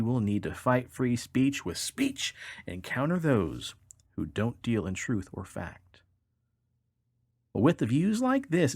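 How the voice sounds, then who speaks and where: Lively, dry-recorded male podcast host. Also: medium pitch, breathy edge, no room sound. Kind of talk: reading odd news stories aloud with casual commentary.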